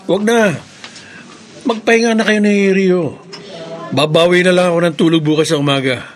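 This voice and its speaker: A man's voice: high voice, moderate pace, natural voice. An actor playing a brave armed man in a Filipino radio horror drama, speaking dramatically and narrating his own actions aloud. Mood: resolute, reassuring. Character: brave armed man vowing to kill monster monkeys.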